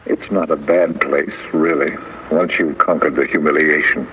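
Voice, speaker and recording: A man's voice: very deep voice, fairly even intonation, moderate pace. An actor in his sixties voicing an aging actor's reflective, worried inner monologue in a vintage radio drama. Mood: wry. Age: 60s. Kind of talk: 1960s radio drama acting. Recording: dull lo-fi vintage radio with faint hiss.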